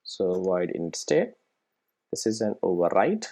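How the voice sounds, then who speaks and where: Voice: sits low, very animated, a little slow, dark tone. Coding instructor explaining step by step. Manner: frequent check-in tags like "right?" and "okay". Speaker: programming tutorial instructor. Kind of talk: casual screen-recorded coding tutorial, explaining step by step.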